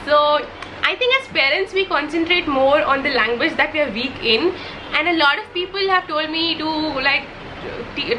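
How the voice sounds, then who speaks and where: A woman's voice: sits high, background noise. A young woman whose first language is Hindi, a mother chatting enthusiastically about favourite baby products, with many fillers and restarts. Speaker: vlogger, mother. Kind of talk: casual product favourites vlog.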